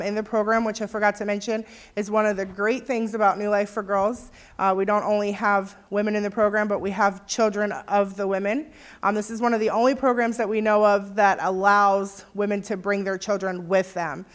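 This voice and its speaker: A woman on the staff of a recovery program, giving a relaxed, informal talk to a visiting group with many filler ums. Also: medium pitch.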